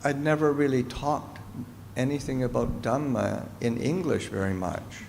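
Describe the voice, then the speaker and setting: A middle-aged Canadian-raised monk answers questions slowly and thoughtfully, pausing often. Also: male voice, mid-range voice, creaky, darkish tone. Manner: slow, halting, thoughtful speech with pauses. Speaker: Buddhist monk and teacher. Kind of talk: Dharma talk Q&A answer. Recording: reverberant hall with faint noise.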